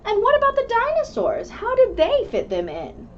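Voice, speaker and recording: A woman reading a rhyming children's book aloud in an expressive, measured storytelling manner. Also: very high-pitched. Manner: animated, measured storytelling delivery. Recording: dull lo-fi recording with background noise.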